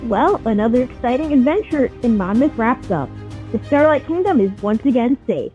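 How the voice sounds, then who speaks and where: A woman's voice: fairly high pitch, animated, normal speed, warm tone, dull audio, soft background music, close to an everyday voice. A woman voicing an earnest, aggrieved young teen boy hero in a fantasy audio drama, emotional and a little whiny. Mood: cocky.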